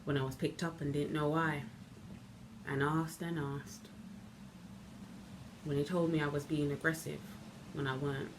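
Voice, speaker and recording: A woman performing a dramatic monologue as a bitter, wronged young woman recounting events in a deliberate, halting way with emphatic repetition. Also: low voice, flat delivery. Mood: bitter, hurt. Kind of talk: dramatic stage monologue, self-taped. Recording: noticeable background noise.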